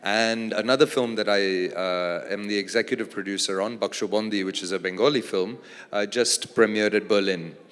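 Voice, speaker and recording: A man, an actor and producer from Australia, speaking as a panel guest in a relaxed, thoughtful way with many fillers. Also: on the low side, normal speed, clean tone. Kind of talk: casual panel conversation. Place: large event hall on stage. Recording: long reverb of a large hall.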